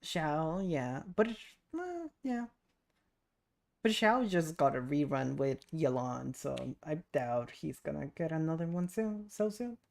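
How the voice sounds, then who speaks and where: Chatty young woman streamer, creaky voice. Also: sits low, expressive, a little slow, darkish tone. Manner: chatty, rambling, musing aloud. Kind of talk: casual livestream chat while gaming.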